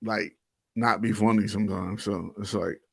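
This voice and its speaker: A man's voice: fairly low pitch, steady tone, normal speed, vocal fry, slightly rough, dry recording, clean studio sound. A man hosting a podcast in casual group banter, blunt and wisecracking. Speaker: podcast host.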